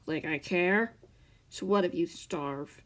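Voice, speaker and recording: A woman voicing a short-tempered male captor who snaps and growls loudly. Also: on the low side, slow pace. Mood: angry. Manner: snapping, growling and loud.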